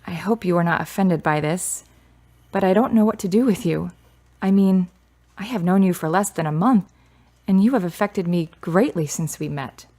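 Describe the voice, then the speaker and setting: A woman's voice: fairly low pitch, normal speed, dark tone, lightly acted. Woman acting candid, grieving young woman. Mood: earnest, reflective. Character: grieving adopted daughter near thirty, candid and restless. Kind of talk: radio drama acting.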